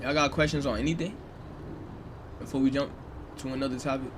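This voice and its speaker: Young man, mid-pitched, loose slangy delivery, streamer leading a game crew, clear game and street noise behind. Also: fairly even intonation, a little fast. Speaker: streamer leading police roleplay training. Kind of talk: casual instructions to players.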